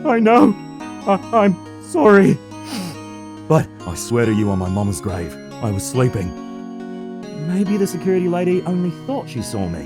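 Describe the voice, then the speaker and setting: Woman voicing an anxious, meek man, wildly swinging pitch. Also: sing-song, moderate pace. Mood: earnest, pleading. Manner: hesitant, pleading. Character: anxious, meek male actor accused of murder. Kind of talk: voiced dialogue for a video game fan dub. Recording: music behind the voice.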